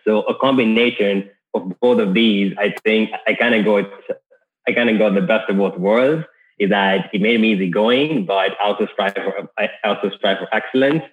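A man's voice: on the low side, moderate pace. A man from Kenya, a podcast guest, telling his career story in an easygoing, casual way with many restarts. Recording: tinny phone-like line.

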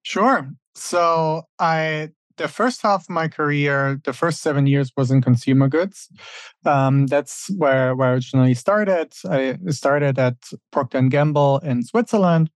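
A man's voice: fairly high pitch, unhurried, dry recording. German-raised man, dry close sound. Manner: thoughtful, many fillers and restarts. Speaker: podcast guest. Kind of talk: casual interview answers.

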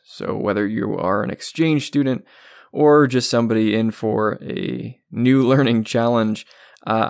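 A man hosting an interview podcast, introducing his guest and asking questions in a friendly, upbeat way with quick asides. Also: medium pitch, vocal fry, a little mellow. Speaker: podcast host.

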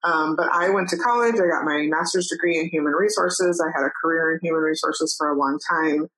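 Low-voiced chatty woman guest. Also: fairly quick, poor audio. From New Mexico, USA. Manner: chatty, lots of "um" and soft laughs. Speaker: guest, photographer and educator. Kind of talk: casual interview chat.

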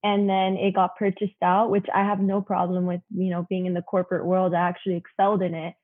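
A woman's voice: on the low side, flat delivery, brisk. A woman in her early twenties with a young-sounding voice, chatting as an interview guest with lots of "you know" fillers. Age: 20s. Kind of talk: casual interview talk. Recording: tinny phone-like line.

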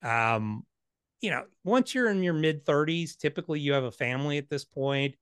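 A man's voice: on the high side, animated, moderate pace, a bit bright, clean tone, no echo, clean studio sound. A man in his fifties, an author and financial planner, answering interview questions in a blunt, candid, self-deprecating way. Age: 50s.

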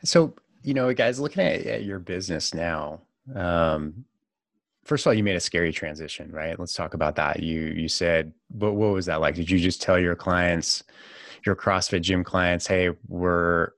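A man's voice: low-pitched, moderate pace, fairly bright tone, no echo, studio quality. A man hosting a podcast, encouraging his guests and asking long, framed questions. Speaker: podcast host. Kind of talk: interviewing guests.

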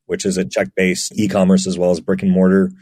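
A man giving relaxed, chatty answers as an interview guest, often restarting his sentences. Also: sits low, a little fast, no echo, clean studio sound. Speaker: guest, marketplace team member.

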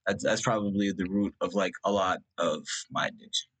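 Rambling young man with a lowish voice. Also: steady tone, a little fast, a bit bright, breathy edge. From India. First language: Punjabi. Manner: rambling, full of "like" and restarts. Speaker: guest. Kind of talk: reflective personal conversation.

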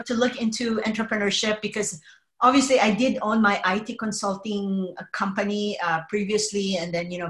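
A middle-aged woman from the Philippines, a podcast guest talking in an earnest, measured way with frequent fillers. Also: medium pitch, roomy sound. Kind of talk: casual interview conversation.

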